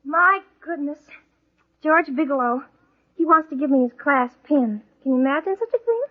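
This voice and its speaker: A woman's voice: high voice, animated, moderate pace. An actress playing a coy, well-mannered teenage girl in 1940s radio comedy style. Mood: piqued. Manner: coy, polite. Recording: muffled vintage radio recording.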